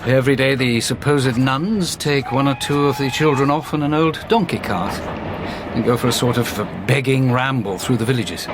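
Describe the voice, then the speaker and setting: An actor playing a confident, opinionated Victorian police inspector in a radio drama, humming and then pronouncing firmly. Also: male voice, mid-range voice, moderate pace, close to an everyday voice. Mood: opinionated, assured. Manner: hesitant hums, assertive pronouncements. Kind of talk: period radio drama acting. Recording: clear background noise.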